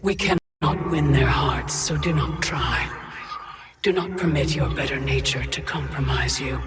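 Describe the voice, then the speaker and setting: Immortal matriarch for a video game: stern, deliberate, imperious, set over loud music. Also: female voice, a bass voice. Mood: stern, urgent. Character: stern, commanding immortal ruler and parent. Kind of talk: video game cutscene acting.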